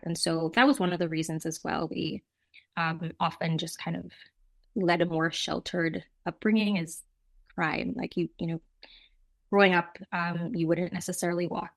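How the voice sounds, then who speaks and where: A middle-aged woman from Jamaica speaks as a nonprofit representative in an interview. Her voice sits on the low side and has a strong creak. She explains things reflectively, adding asides as she goes. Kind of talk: conversational interview answers.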